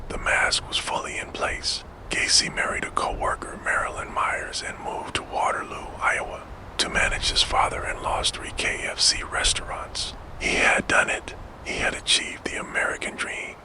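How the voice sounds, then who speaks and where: A man narrating a scripted story in a hushed, gentle ASMR whisper, as the host of a sleep storytelling show. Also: moderate pace, poor audio. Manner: hushed, gentle, soothing delivery. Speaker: host and narrator of a true crime sleep channel.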